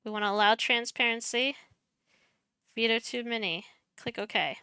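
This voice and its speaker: A young woman talks through a streaming tutorial on a headset, soft-spoken and chatty, muttering to herself as she clicks. Her voice is bright and very clear, and she takes her time. The sound is close and dry, with no room around it. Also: a little high, normal speed, no room sound. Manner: chatty, self-deprecating, mutters while clicking. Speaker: streamer teaching streaming setup. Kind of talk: casual screen-share tutorial.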